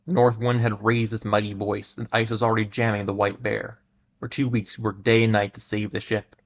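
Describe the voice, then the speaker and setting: Low, gravelly man on a muffled stream. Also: flat delivery. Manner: halting, stumbles and repeats words. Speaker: livestream host reading stories aloud. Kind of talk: dramatic reading and casual livestream chat.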